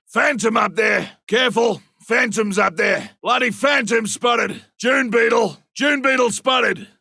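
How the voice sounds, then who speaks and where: An actor playing a rugged, cocky monster hunter in a video game, a man with a rough gravelly Australian voice and a blunt, forceful way of calling out. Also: high voice, dry recording, clean studio sound, heavily acted. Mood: alert, taunting. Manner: colloquial, blunt, forceful delivery. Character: gruff male monster hunter, rugged, cocky, seasoned trapper. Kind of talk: video game combat barks and callouts.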